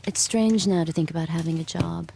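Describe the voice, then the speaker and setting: Young mother narrating, quiet reflective tone, low gravelly voice, music behind. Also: brisk, a little hiss or hum. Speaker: narrator and lead character. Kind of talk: audio drama acting.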